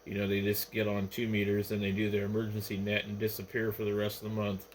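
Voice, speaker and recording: A man's voice: lowish voice, monotone, fast talker. An American man, an amateur radio operator, chatting casually over the radio. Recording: noisy ham radio transmission, static behind.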